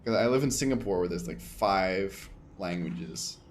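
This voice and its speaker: A man's voice: sits low, lively intonation, measured pace. A man playing a video game for the first time, chatting with viewers and muttering what he reads on screen. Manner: chatty, thinks aloud, mutters menu text. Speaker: gaming streamer. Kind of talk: casual let's play commentary.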